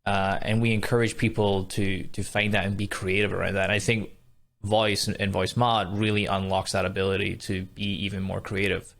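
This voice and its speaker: A man's voice: on the low side, creaky, a bit bright. A Scottish man hosting a podcast, talking in a conversational interview style. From Scotland.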